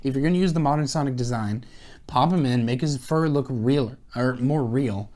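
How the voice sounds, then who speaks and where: A man's voice: mid-range voice, fairly quick, a little mellow. A man co-hosting a casual chat show, speaking bluntly in short interjections. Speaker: podcast co-host.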